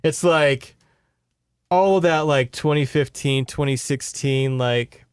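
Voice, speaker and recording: A man's voice: mid-range voice, slow pace, smooth, no room sound, studio quality. A man hosting a podcast, casually recapping a story with sarcastic, exasperated, sweary asides. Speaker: podcast host. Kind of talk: casual recap of a horror novel.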